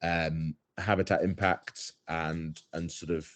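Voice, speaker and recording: A man's voice: low voice, level tone, slow pace. A young man, a guest on a podcast, talking casually in a thoughtful, hesitant way with many fillers and restarts. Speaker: head stalker, guest.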